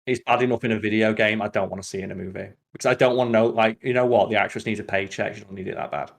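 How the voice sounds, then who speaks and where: A man's voice: fairly low pitch, steady tone, very fast, vocal fry. A man hosting a podcast in casual chat, with a sweary, opinionated way of talking. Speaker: podcast host.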